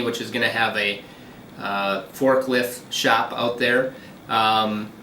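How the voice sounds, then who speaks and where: Bright-voiced male realtor, distant and echoey. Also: mid-range voice, a little hiss or hum. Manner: steady, businesslike explaining with frequent ums. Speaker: commercial realtor. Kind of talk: phone interview.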